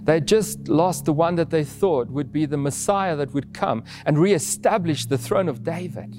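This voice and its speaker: High-voiced man preaching a sermon. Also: normal speed, soft background music. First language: Afrikaans. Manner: earnest, with restarts and soft laughs.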